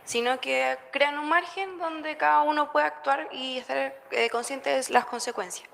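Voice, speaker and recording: A woman's voice: high voice, steady tone, measured pace, creaky, fairly bright tone. A young woman from Chile, a student, asking a careful question in class with some hesitation.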